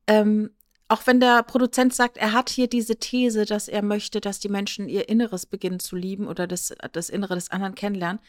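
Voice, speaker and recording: A woman's voice: medium pitch, level tone, fairly quick, no room sound, studio quality. A woman discussing casually as a podcast co-host, making her points emphatically with rhetorical questions.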